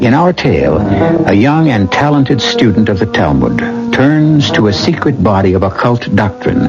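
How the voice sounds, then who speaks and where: A man hosting a radio mystery show, narrating from a script in an ominous, theatrical manner with deliberate phrasing. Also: lowish voice, sing-song. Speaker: host and narrator. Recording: dull old radio recording, loud music. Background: dramatic music.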